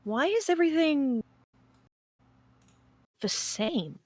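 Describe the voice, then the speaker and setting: A woman playing a naive, bewildered space probe with a halting, questioning way of talking in an audio drama. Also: high voice, big pitch swings, no room sound, heavily acted. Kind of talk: table read of a script, audio drama acting.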